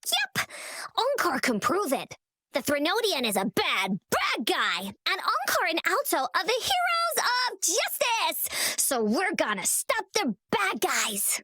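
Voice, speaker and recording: Very high, childlike little girl hero. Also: huge pitch swings, takes it slowly, pushed and bright, dry recording, clean studio sound, full character voice. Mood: eager, proud. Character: cheerful little girl hero, childlike, speaks in third person. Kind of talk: anime game voice acting.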